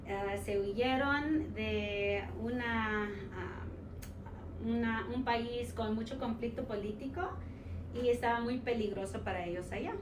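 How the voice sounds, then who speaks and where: A woman in her forties from New York, a teacher telling her life story in a casual interview, pausing often with fillers and mixing in English words. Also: a little high. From United States, New York. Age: 40s. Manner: halting, many fillers, slips English words into Spanish. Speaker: social studies teacher, interview guest. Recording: roomy sound from a distant mic with noise.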